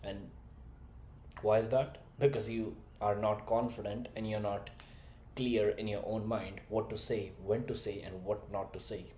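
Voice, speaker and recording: Blunt young coach from India with English as a later language; low-pitched, lectures to camera in an echoey room. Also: male voice, level tone, a little slow, warm tone, a little hiss or hum. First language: not English; English is his third language. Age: 20s. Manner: blunt, lecturing, rhetorical questions. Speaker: communication skills coach. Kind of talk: direct-to-camera motivational talk.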